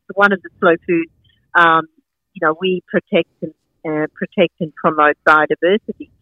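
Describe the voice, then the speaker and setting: A woman's voice: fairly low pitch, fairly even intonation, normal speed, no echo, narrow, tinny audio. A middle-aged woman from Sri Lanka with a Sri Lankan accent, chatting enthusiastically as an interview guest, rambling with many fillers. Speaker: guest, market founder and farmer. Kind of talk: casual interview chat.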